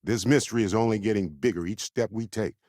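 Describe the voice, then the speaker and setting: An actor playing a thoughtful, wry space explorer in a video game, speaking articulately and conversationally with dry wit. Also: male voice, lowish voice, fast, a little mellow, dry recording, lightly acted. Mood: earnest, thoughtful. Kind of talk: scripted game dialogue lines.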